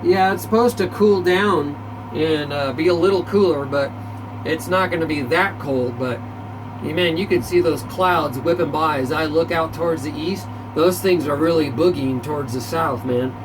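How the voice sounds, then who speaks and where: A man's voice: a little high, normal speed, background noise. A man chatting casually as a CB radio operator, in a folksy, slangy, rambling way. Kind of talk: casual chat over CB radio. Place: back-room radio shack.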